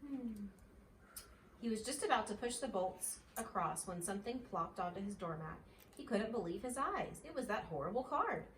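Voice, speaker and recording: A woman's voice: medium pitch, lively intonation, moderate pace, fairly bright tone. A woman narrating a children's storybook aloud expressively, as a mother reads. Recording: roomy, distant mic, faint noise.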